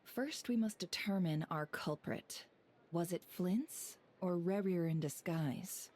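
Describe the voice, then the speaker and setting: A woman voicing a composed, analytical female investigator in a video game, speaking in a measured, deliberate, formal way. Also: lowish voice, darkish tone. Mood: calm, analytical.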